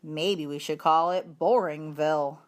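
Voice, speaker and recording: A woman's voice: deep voice, steady tone, unhurried. A woman reading a children's storybook, voicing a cheeky schoolboy who likes to joke. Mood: muttering. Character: joking schoolboy, cheeky, low muttering voice. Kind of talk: children's storybook read-aloud.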